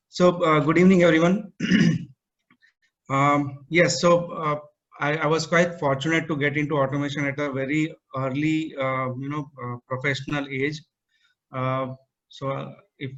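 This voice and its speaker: A man speaks over a video call, his pitch staying fairly flat. He halts often with fillers and restarts, presenting to an online meetup. The call audio sounds somewhat muffled. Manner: hesitant, many fillers and restarts. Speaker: guest speaker.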